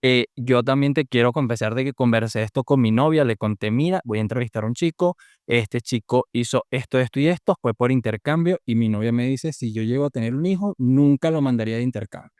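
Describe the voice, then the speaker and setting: A young man from Venezuela with a Venezuelan accent, hosting a podcast and asking curious, lively questions. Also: medium pitch, brisk, smooth, dry recording, studio quality. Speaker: podcast host. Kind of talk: casual interview.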